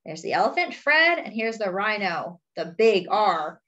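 Animated, unhurried young woman reading aloud. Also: medium pitch, highs cut off. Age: young adult. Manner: expressive, exclaiming, pointing things out. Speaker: storytime host, reader. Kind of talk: children's picture book read-aloud.

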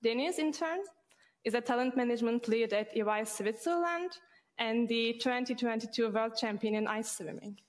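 A young woman moderates a panel on a studio stage, with friendly, scripted introductions and questions. Her voice is slightly high, and she speaks into a headset mic.

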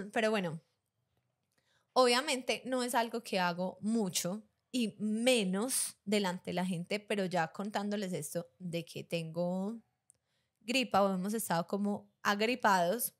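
A woman's voice: medium pitch, measured pace. A young woman co-hosting a casual podcast chat, laughing often between her lines. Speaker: podcast co-host.

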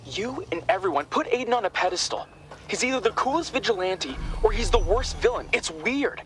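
High-pitched, exasperated young man. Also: lively intonation, fairly quick, fairly bright tone, a little hiss or hum, poor audio. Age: young adult. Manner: dry, sarcastic, reproving. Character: exasperated, sensible young man, vigilante's nephew. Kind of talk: conversational game cutscene acting.

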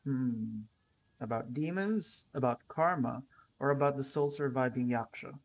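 A woman's voice: a bass voice, slow pace, darkish tone. A woman giving casual gameplay commentary as a streamer, with dry, offhand joking. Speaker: game streamer. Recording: faint game music behind.

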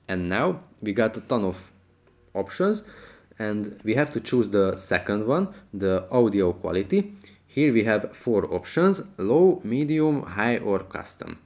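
A man's voice: lowish voice, a little mellow. A man hosting an app tutorial, explaining things step by step. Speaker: tutorial host.

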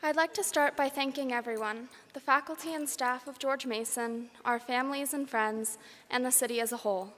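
Young woman, student orator, higher-pitched, measured and formal commencement address, long hall reverb. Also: a bit bright. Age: young adult. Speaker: graduating student speaker. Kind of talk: prepared commencement speech. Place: large concert hall, speaking at a graduation ceremony. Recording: echoey large hall PA.

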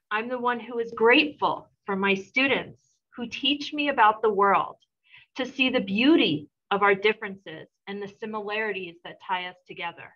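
Woman, thirties, teacher, very bright voice, mid pitch, slightly dull call audio. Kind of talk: casual talk and poem reading on a video call. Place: classroom or school office with bookshelves. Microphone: laptop mic on a video call.

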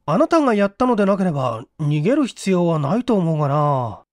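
A man's voice: fairly high pitch, very animated, brisk, a little mellow, no echo, clean studio sound. A man voicing a courteous, inquisitive apartment manager who is devoted and easily surprised, in a mystery audio drama. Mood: doubtful. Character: Okamoto, polite, puzzled, devoted male apartment manager.

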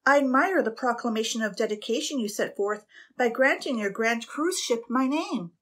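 A woman voicing a gentle young woman with a soft, breathy, lilting voice, pitched high. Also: animated, normal speed. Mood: sweet.

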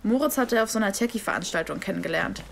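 A woman's voice: mid-range voice, very fast, vocal fry, a little hiss or hum, lightly acted. An actress playing a young woman who patiently explains a story in casual words. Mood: explaining.

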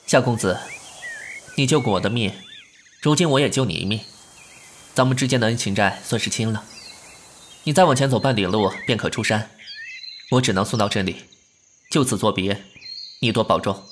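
A man's voice: fairly low pitch, moderate pace, pauses often, a little hiss or hum, lightly acted. A man acting a proud, principled, defiant young man in a Chinese costume audio drama, with an earnest, formal delivery. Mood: firm, restrained.

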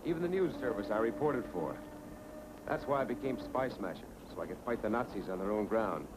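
Male actor as heroic American avenger, resolute, earnest, low, lively intonation, 1940s serial, noisy vintage film audio. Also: fairly quick, lightly acted. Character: resolute heroic American masked avenger, confiding in his twin.

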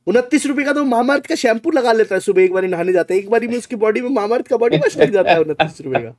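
A high-voiced man streams games and chats casually. His pitch swings widely as he teases and protests in mock indignation, with plenty of exclamations. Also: lively intonation, quick pace, darkish tone, no echo. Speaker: game streamer. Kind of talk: casual livestream banter. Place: small room indoors.

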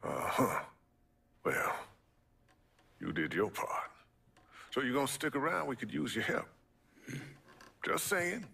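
An actor playing a wry, fatherly middle-aged community leader in a video game, gravelly and dropping to a whisper. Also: mid-range voice, sing-song, slow pace, pauses often, sharp tone, full character voice. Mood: inviting.

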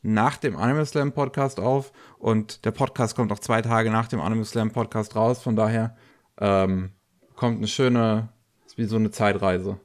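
A man co-hosting a podcast, talking casually and haltingly, slightly congested from a cold. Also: fairly low pitch, steady tone. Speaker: podcast co-host. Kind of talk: casual news podcast talk.

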